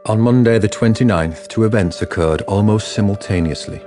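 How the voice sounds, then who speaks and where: A man's voice: lowish voice, fairly quick, warm tone. A man delivering scripted narration for a radio drama. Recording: faint music underneath.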